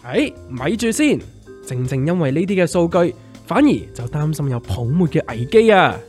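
A man's voice: fairly high pitch, sing-song, moderate pace, darkish tone, faint music behind. A man playing a wry, sceptical big-guy pal in a comic radio drama, exasperated and exaggerated, with a Hong Kong Cantonese accent. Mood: cautioning.

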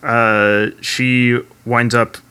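Bright-voiced man recapping a show. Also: medium pitch, flat delivery, very slow, clear, resonant tone. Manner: swears casually, summarizes plot at length. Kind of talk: casual chat recapping a TV series.

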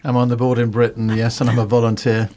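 A man's voice: medium pitch, completely flat delivery, quick pace, mellow. A man talking about his own life as a guest in a radio studio, in a conversational style. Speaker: interview guest, volunteer board member. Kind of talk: personal account in conversation.

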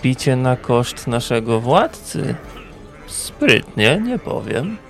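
Soft music sits under a male traveller in a fantasy audio drama, acted by a man. He talks slowly at a middling pitch. His manner is calm and wry. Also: creaky, heavily acted.

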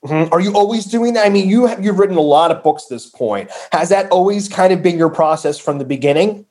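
A man's voice: high voice, animated, brisk, a little mellow. A man with a northern New Jersey accent, hosting a casual interview over a video call, with frequent fillers and restarts. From northern New Jersey, USA. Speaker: podcast host.

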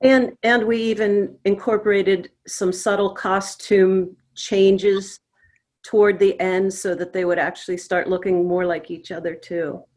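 A middle-aged woman speaks slowly at a medium pitch. She talks as a playwright in a relaxed discussion, measured and pausing mid-phrase. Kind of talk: casual panel discussion.

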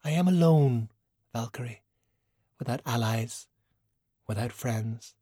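A man's voice: mid-range voice, warm tone, slightly rough, no echo, studio quality. Man voicing a poised, silky, refined woman. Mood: quietly bitter. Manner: measured, formal, refined. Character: poised, silky, beautiful woman, proud and wryly self-pitying. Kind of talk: audiobook character dialogue.

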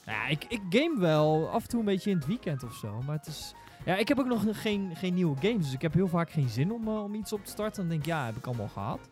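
A young man chatting casually and haltingly on a podcast panel. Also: on the high side, sing-song, darkish tone, faint music behind. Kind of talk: casual chat.

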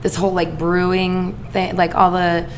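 A woman with a raspy voice co-hosting a podcast, chatting casually and filling her speech with "like" and "um". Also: lowish voice, monotone, moderate pace, a little hiss or hum. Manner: chatty, filler-heavy with lots of "like". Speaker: podcast co-host.

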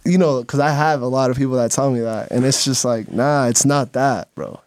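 A man's voice: medium pitch, moderate pace, darkish tone, no echo. Laid-back young man, dry studio sound. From Dominican Republic. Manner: loose, laughing, lots of fillers. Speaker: guest videographer. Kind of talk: casual radio interview chat. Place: radio studio.

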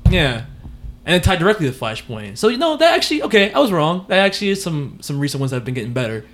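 A man's voice: fairly high pitch, big pitch swings, fast, fairly bright tone. Laughing, playful male podcast co-host. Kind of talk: casual podcast chat. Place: at a friend's home, not usual studio.

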